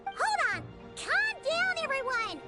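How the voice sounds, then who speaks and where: A tiny, chatty fairy girl for an anime game. The voice is squeaky and extremely high, and it swoops widely with childlike excitability. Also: slow, over loud music, full character voice. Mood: flustered. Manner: speaks of self in third person.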